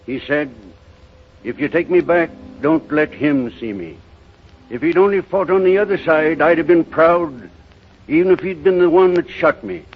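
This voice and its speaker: Stern townsman, acted by a man: blunt, bitter, unbending, higher male register, vintage radio drama on a dull old recording. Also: animated, normal speed, lightly acted. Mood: bitter, firm. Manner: curt, blunt. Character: stern, bitter, unforgiving townsman and war veteran. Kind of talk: 1930s radio drama acting. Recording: muffled 1930s radio recording.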